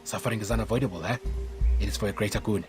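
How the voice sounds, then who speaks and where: An actor playing a stern army major in a video game, speaking gravely and formally. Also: male voice, lowish voice, racing, close to an everyday voice. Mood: grave, resolute. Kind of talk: video game cutscene acting. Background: music.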